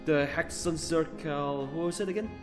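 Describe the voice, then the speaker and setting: A man giving casual reaction commentary on a game, halting and muttering, often repeating himself. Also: on the high side. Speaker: gameplay streamer. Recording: long reverb, game music behind.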